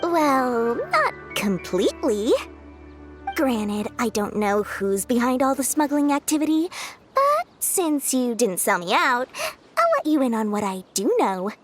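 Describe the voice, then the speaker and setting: A woman's voice: a little high, sing-song, measured pace, faint music behind. A woman voicing a shrewd, greedy young female merchant in a video game, talking in a sly, bubbly, salesy way. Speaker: game character.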